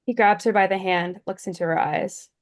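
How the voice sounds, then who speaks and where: A woman's voice: medium pitch, moderate pace. A woman reading stage directions as scripted narration at a screenplay table read.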